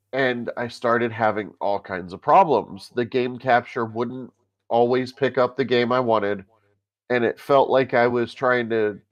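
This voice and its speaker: Congested man, low voice, halting talk. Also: muffled recording. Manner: halting, many filler sounds. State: congested from a cold. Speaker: podcast co-host. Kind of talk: casual tech chat.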